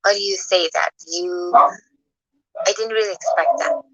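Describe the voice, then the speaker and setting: A woman's voice: lowish voice, steady tone, normal speed, a bit bright, dry recording, rough recording. A woman from Indonesia with an Indonesian accent, a real estate agent chatting casually, friendly and sometimes searching for words. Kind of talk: casual chat.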